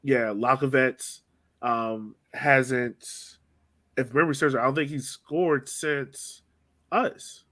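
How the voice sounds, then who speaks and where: A man with a mid-pitched voice hosts an informal sports podcast. He thinks out loud, circling back to reword his questions as he steers the conversation. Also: takes it slowly. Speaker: podcast host steering the discussion. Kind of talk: casual sports podcast discussion.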